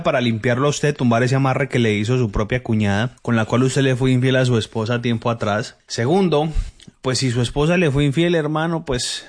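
A man working as a radio host, card reader and healer, giving live call-in advice in a familiar, emphatic way with rhetorical questions and repetition. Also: mid-range voice.

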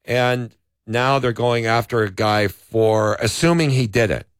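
A man's voice: medium pitch, measured pace, clean tone, dry recording, studio quality. A man, a law professor, giving legal commentary on talk radio, arguing his points with examples. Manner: argumentative, explains with examples. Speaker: law professor, co-host guest.

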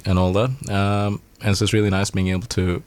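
A man's voice: deep voice, little pitch movement, fairly quick, clean tone. A man from Sri Lanka, a band's singer, talking casually in an interview and swearing freely. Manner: laid-back, swears freely, calls people bro and man. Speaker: band vocalist. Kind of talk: casual radio interview chat.

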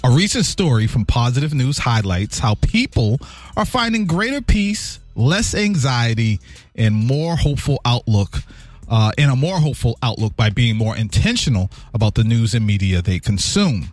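A man with a deep voice co-hosting a radio show, chatting and reading a good-news segment. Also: sing-song, normal speed, no room sound. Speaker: radio co-host.

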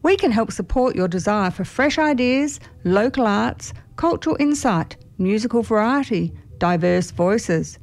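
A woman's voice: a little high, animated, measured pace, hardly any pauses, darkish tone. A woman reading scripted promotional narration for a community radio station.

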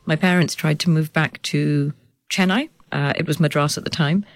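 A woman in her forties, a journalist being interviewed, talking animatedly with laughs and lively swings in pitch. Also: normal speed, no echo. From United Kingdom. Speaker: journalist and author guest. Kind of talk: conversational interview.

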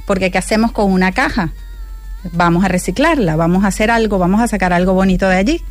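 A woman talks as a guest in a close, dry studio. She speaks in lively bursts and repeats words for emphasis. Her voice sits at an ordinary middle pitch. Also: fast talker, no room sound, faint music behind. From Venezuela. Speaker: guest, piñata maker. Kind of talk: casual radio chat.

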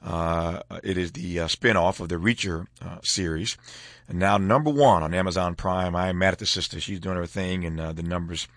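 A man hosting a radio interview, asking long, halting, reflective questions. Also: low-pitched, fairly even intonation, fairly bright tone, dry recording. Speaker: talk show host.

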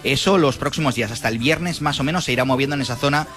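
A man's voice: medium pitch, very fast, fairly bright tone. Spanish guy doing radio weather, folksy and informal, music underneath. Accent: Spain. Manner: colloquial, folksy diminutives. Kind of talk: conversational weather forecast.

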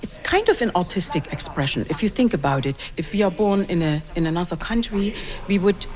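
A woman's voice: lowish voice, animated, fairly quick, a little mellow. A woman from Germany speaking earnestly about languages and accents. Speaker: event attendee. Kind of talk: vox pop interview.

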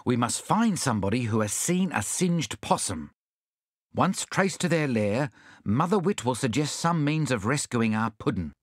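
A man's voice: mid-range voice, expressive, moderate pace, no echo, clean studio sound, natural voice. A man voicing an eloquent male character who declaims rhyming speeches in a grand manner. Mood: earnest.

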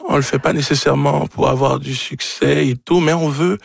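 A man from Haiti with a Haitian accent and Creole as his first language, a novelist speaking haltingly as he searches for words. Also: on the high side, fairly even intonation, gravelly, husky, no room sound, clean studio sound. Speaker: novelist being interviewed.

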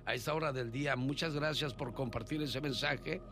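A man's voice: medium pitch, normal speed. A man hosting a radio call-in show, chatting and telling stories to his callers. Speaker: radio host. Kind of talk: radio call-in chat with storytelling.

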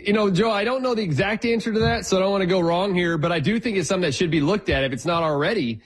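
A man from Texas, a guest economist explaining energy prices in a measured way on a radio interview, often restarting his sentences. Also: high-pitched, breathy edge. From Houston, Texas.

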